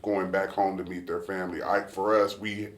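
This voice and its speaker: A middle-aged man talking casually with his son in an interview, relaxed and often searching for words. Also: low voice, normal speed, recorded from a distance. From United States. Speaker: interviewee, father. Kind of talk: casual family conversation. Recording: roomy, off-mic.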